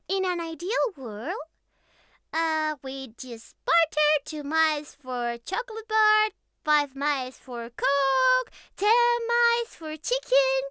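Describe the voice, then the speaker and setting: A young catgirl with a tiny, extremely high and bright voice. She is clingy, naive and pouty, stammering through an affectionate ASMR roleplay in swooping, childlike tones. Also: no room sound, clean studio sound, heavily acted.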